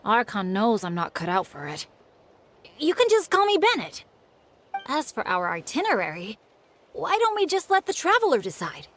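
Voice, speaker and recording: A woman's voice: fairly high pitch, huge pitch swings, moderate pace, slight background noise. A woman voicing a cheerful, modest teenage boy adventurer in a video game, with an easygoing manner. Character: upbeat teenage boy adventurer, humble and unlucky. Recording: game audio with soft music behind.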